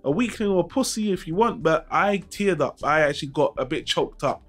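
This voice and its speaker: A man's voice: a little high, lively intonation, brisk, a bit bright, faint music behind. A man hosting a casual film review vlog, talking informally and frankly to his viewers with some mild swearing. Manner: informal, mildly profane, frank. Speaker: YouTube channel host.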